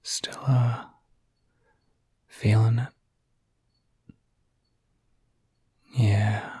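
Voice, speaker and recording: A gentle, reassuring werewolf acted by a man looks after the listener in an intimate ASMR roleplay. His voice is a very breathy, soft whisper at a medium pitch. He speaks slowly and haltingly, with an easygoing, soothing manner. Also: no room sound, heavily acted.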